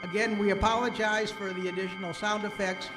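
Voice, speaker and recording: A high-pitched man gives informal thanks and credits as host, halting and hesitant as he goes. He speaks in a reverberant hall, with loud music in the recording. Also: little pitch movement. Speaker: host of a community radio-play show. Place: hall with a live audience.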